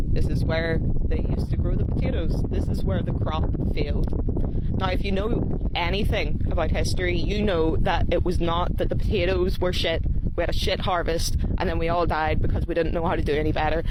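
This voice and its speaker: An Irish woman from Ireland giving a heartfelt spoken monologue outdoors on a mountainside, earnest and growing quiet and intense. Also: lowish voice, noisy background. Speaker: social media creator. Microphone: phone recording.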